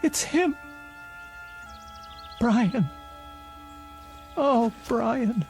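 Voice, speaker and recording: Slow-speaking, imperious elderly spinster. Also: female voice, on the high side, lively intonation, a little mellow, full character voice. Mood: anguished, wistful. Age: older. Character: imperious, lonely elderly spinster haunted by lost fiancé. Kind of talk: vintage television drama acting. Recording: vintage TV soundtrack, faint music behind.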